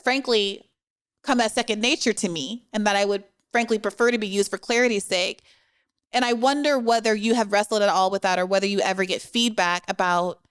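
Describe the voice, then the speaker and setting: Woman podcast host, mid pitch, talks in long careful sentences, very articulate. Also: clear, resonant tone. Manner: articulate and measured, with long structured questions. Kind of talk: interview conversation.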